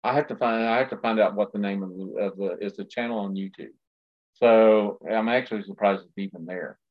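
A man in casual chat who rambles and stops to restart his sentences. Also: lowish voice. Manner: rambling, halting, many restarts. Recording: dull lo-fi sound.

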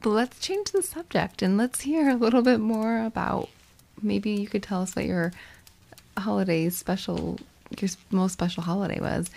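A woman co-hosting an improvised comedy podcast, often speaking in a soft, whispery and polite way. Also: mid-range voice, creaky. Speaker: podcast co-host.

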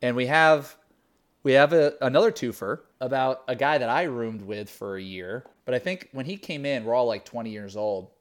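A man co-hosting a podcast, recalling stories in an animated, jokey casual chat. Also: mid-range voice, normal speed. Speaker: podcast co-host.

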